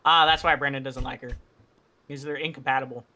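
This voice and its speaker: A man's voice: medium pitch, steady tone, brisk, sharp tone. A man chatting casually during a game playthrough, giving blunt, opinionated commentary with frequent swearing. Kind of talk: casual chat, gaming commentary.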